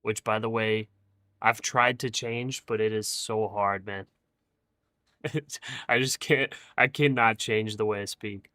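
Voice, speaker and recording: Young man, low bright voice, monotone, casual stream chatter, dry sound. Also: slow, dry recording. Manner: says his own voice is monotone; relaxed, chatty, talks to viewers as bro and dude. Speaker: livestream trading host. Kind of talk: casual live-stream chat reading viewer comments.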